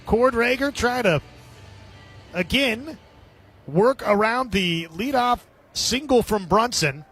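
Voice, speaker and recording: A male baseball announcer with a notably high voice delivers live radio play-by-play. He describes the game in clipped, descriptive phrases, as a sports commentator does on air. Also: lively intonation, slow, fairly bright tone, husky, soft background music.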